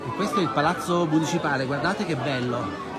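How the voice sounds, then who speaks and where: A man's voice: fairly high pitch, fairly quick. A man giving enthusiastic live walking-tour commentary, addressing viewers as friends. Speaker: host of a live local travel broadcast. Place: outdoors in village streets and squares. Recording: busy outdoor street, crowd and loud music.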